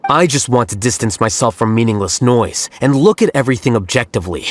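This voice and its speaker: A man's voice: medium pitch, animated, fast, soft background music, lightly acted. A man voicing a detached, analytical scholar. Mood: cool. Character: cool, aloof male scholar, young adult. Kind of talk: game voice acting.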